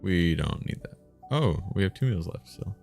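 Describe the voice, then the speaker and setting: A man's voice: low voice, animated, normal speed, creaky, darkish tone, soft background music. A man livestreaming a game, chatting casually with goofy, profane banter. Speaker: livestreamer reading an interactive story game aloud. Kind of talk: casual joking chat and read-aloud.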